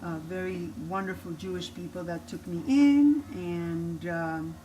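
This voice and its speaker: A woman's voice: fairly low pitch, unhurried, low-quality recording. An older grandmother from Cuba with a Cuban Spanish accent, whose first language is Spanish. She gives a homey cooking lesson in her kitchen and tells her life story, with many restarts. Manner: homey and instructive, with frequent restarts. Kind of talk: cooking demonstration and personal storytelling. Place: home kitchen. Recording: steady background noise.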